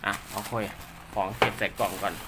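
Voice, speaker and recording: A man's voice: a little fast, background noise. A man giving casual, polite, rambling hands-on commentary as a technician showing gear he is setting up, half talking to himself as he works. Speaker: radio technician and seller presenting his work.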